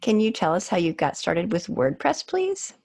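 A woman hosting a podcast, reading a scripted introduction and asking measured interview questions. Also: medium pitch, big pitch swings, creaky, a little mellow. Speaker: podcast host.

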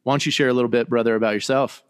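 A man's voice: mid-range voice, fairly even intonation, very fast, clean tone, no room sound, clean studio sound. A man hosting a podcast in a chatty, joking way, wandering into asides as he introduces his guests. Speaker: podcast host and chaplain. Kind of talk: casual podcast chat.

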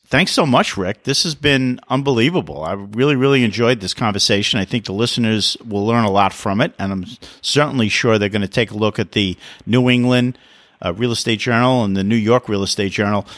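A man's voice: fairly low pitch, brisk, a bit bright, no room sound. A man hosting a real estate podcast interview, talking in an upbeat, enthusiastic way. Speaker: podcast host.

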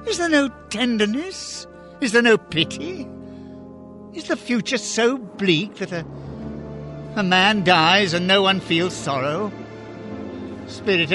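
An actor playing a frightened, pleading old miser in a radio drama, halting and theatrical. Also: male voice, sits high. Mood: anguished. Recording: echoey reverberant hall with loud music.

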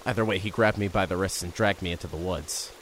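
A man's voice: deep voice, fast talker, fairly bright tone, slight background noise. A man narrating an audio drama in the first person and playing a nervous reporter, with an earnest, wry delivery.

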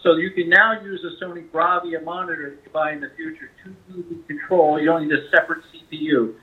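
A man speaking as a company representative, giving a conversational product pitch as an interview guest over a phone line. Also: steady tone, fast talker. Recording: tinny narrow-band phone line.

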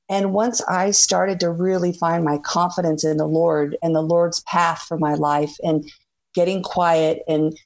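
A woman's voice: fairly low pitch, a little mellow. A middle-aged woman guesting on a faith-based radio interview, talking earnestly and reflectively with frequent fillers. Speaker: guest, former executive.

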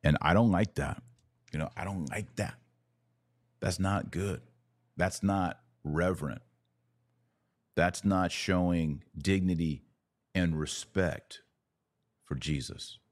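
A man's voice: deep voice, takes it slowly, pauses often, dry recording. A man hosting a podcast, giving live commentary and interviewing. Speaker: podcast host.

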